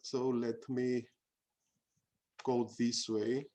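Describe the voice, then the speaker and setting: A man giving a halting online presentation over a video call, repeating words and searching for phrasing. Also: medium pitch, level tone, mellow, a little hiss or hum. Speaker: workshop presenter.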